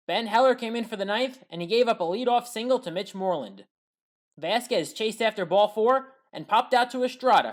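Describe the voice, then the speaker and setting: A woman giving scripted play-by-play breakdown narration as a sports highlights commentator, in a matter-of-fact, clipped way.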